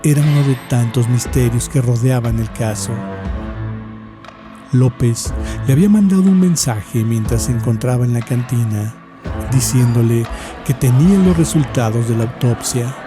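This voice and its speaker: A man narrates a scripted horror story at a medium pitch. His delivery is measured and solemn, with dramatic pauses, and music plays clearly behind him.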